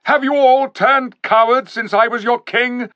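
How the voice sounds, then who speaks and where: A man voicing a young king who rallies his followers with loud, ringing battle cries. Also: very high voice, fairly even intonation, a little slow, no room sound, muffled recording, pitched above the performer's own voice, exaggerated voice. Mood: commanding.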